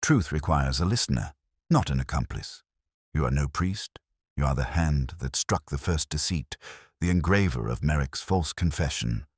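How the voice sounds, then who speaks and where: A man voicing a shrewd, commanding Victorian detective in a story, calm, grave and deliberate. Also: very deep voice, slightly rough, dry recording, studio quality, full character voice. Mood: stern. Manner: terse, deliberate, authoritative.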